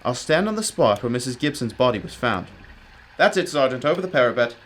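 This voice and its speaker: A man's voice: mid-range voice, sing-song, fairly quick, a bit bright. A man playing a brilliant, commanding detective in a radio drama, explaining emphatically with old-fashioned courtesy. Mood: focused. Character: brilliant, commanding, self-critical male detective.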